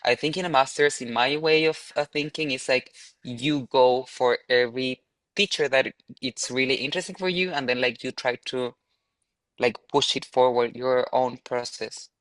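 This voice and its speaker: A man's voice: medium pitch, fairly even intonation, normal speed, a bit bright, no room sound. A man from Chile with a Chilean Spanish accent, talking casually and ramblingly in a webinar with lots of filler "like". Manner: rambling, frequent "like". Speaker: postgraduate alumnus.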